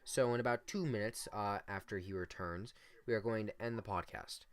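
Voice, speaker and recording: Teen guy with a deep flat voice rambling on a homemade podcast, usb mic. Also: normal speed, clean tone. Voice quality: deep monotone voice. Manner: rambling, filler-heavy. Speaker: podcast co-host. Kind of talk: casual improvised podcast chat. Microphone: USB desktop mic.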